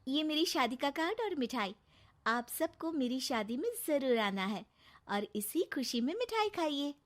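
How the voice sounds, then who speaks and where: A polite young female student in a moral-story cartoon, voiced by a woman. Her voice is high and sweet, courteous towards her elders. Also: sing-song, a little slow.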